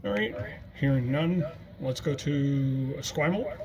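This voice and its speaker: A man's voice: medium pitch, unhurried, darkish tone, a little hiss or hum. A man calling stations in turn as the controller of an amateur radio net. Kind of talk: radio net roll call.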